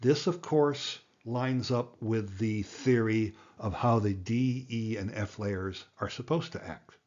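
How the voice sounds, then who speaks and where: A man's voice: on the low side, slow pace, a little mellow, lo-fi sound. A man giving a plain-spoken, emphatic tutorial as an instructor, explaining things step by step. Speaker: amateur radio instructor and presenter.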